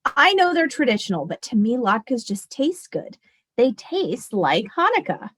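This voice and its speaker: A woman voicing a kindly, wistful old grandmother in a storybook, gently reminiscing. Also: a little high, sing-song, a little slow, slightly rough, exaggerated voice. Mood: warm.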